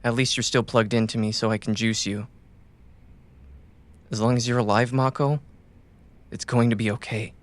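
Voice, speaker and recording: An actor playing a wounded, loyal cyberpunk runner in an audio drama, tenderly and haltingly reassuring a companion. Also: male voice, mid-range voice, fairly even intonation, close to an everyday voice. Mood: weary, reassuring. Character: wounded, loyal male caravan runner gently soothing his companion.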